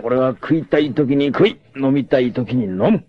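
An actor playing a carefree, roguish young martial artist and womanizer in a dramatic anime, with a cocky drawl and rough masculine speech. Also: mid-range voice, moderate pace, natural voice. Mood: defiant, breezy. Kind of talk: dramatic 1980s anime voice acting. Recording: dull lo-fi old TV audio.

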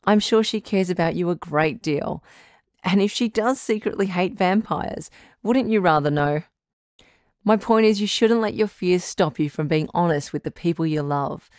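A woman playing a calm, reassuring doctor who hosts a radio relationship advice show, speaking in a measured, counselling way. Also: deep voice, no room sound, studio quality. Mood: encouraging. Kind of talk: audio drama radio call-in acting.

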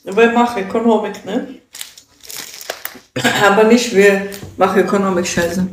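Slow-talking Ukrainian-accented young woman. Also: mid-range voice, fairly even intonation, a little hiss or hum. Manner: halting broken German, often asks "weißt du?". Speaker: livestreamer. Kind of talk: casual livestream rant. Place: indoor room with a sofa. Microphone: phone at arm's length. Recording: roomy phone recording.

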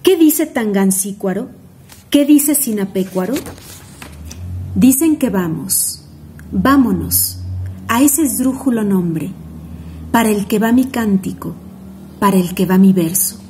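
Mexican woman, young, poetry host, mellow voice, rhythmic expressive recital, close phone mic at home. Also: mid-range voice, takes it slowly, pauses often, slight background noise. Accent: Mexican Spanish. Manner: expressive, rhythmic, savoring each word. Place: home room indoors.

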